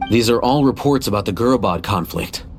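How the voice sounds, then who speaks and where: An actor playing a composed, pragmatic scholar in a video game, speaking in a measured, matter-of-fact way. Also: male voice, fairly low pitch, expressive, slight background noise.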